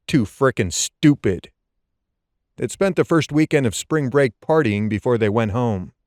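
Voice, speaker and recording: A man narrating suspense fiction as an audiobook, in a tense, deliberate and dramatic storytelling style. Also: on the low side, darkish tone, no room sound, clean studio sound.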